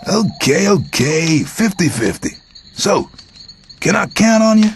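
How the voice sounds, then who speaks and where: A man's voice: high voice, big pitch swings, slow, gravelly, slight background noise, exaggerated voice. A man playing a sly, scheming camp thug with a show of friendliness in a fantasy video game. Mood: persuasive, scheming.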